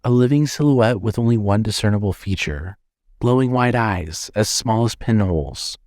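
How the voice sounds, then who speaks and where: A man's voice: fairly low pitch, moderate pace, darkish tone, no room sound, clean studio sound. A man giving scripted narration as the narrator of a fiction podcast.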